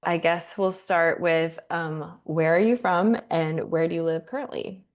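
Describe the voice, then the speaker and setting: Low-voiced woman hosting a casual chat. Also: a little slow. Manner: relaxed, friendly questions with fillers. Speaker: podcast host.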